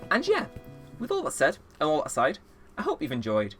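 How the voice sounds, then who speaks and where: A man's voice: on the high side, huge pitch swings, a little fast. An eighteen-year-old man chatting casually through a let's play, rambling and poking fun at himself. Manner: rambling, self-mocking, chatty. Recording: game music playing under the voice. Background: game music.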